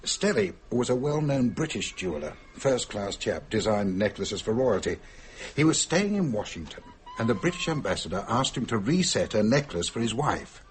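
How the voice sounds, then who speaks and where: An actor playing a well-informed gentleman passenger in a radio drama, recounting facts in a matter-of-fact way. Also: on the low side, very animated, normal speed, a little hiss or hum.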